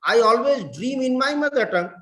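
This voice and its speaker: A middle-aged man from India with an Indian English accent, giving a deliberate lecture to fellow educators, repeating words and mixing in Hindi. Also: extremely high pitch, animated, pushed and bright, reverberant. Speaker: professor.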